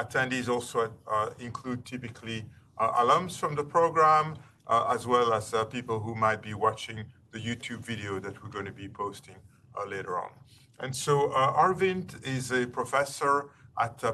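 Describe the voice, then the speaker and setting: A man hosting a university seminar, introducing a guest speaker formally and courteously in a halting, wordy way. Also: medium pitch, bright, forceful tone. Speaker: seminar host. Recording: distant mic, faint room noise.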